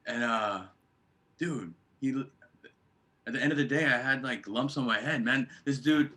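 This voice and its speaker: Bright-voiced man chatting casually. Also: mid-range voice, moderate pace. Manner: reactive, short interjections. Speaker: podcast co-host. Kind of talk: casual chat.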